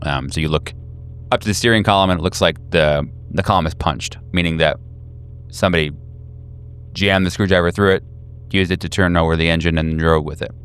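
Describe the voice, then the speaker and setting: Deep-voiced male game master. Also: a little fast, fairly bright tone, smooth. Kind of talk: casual improvised horror game narration. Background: background music.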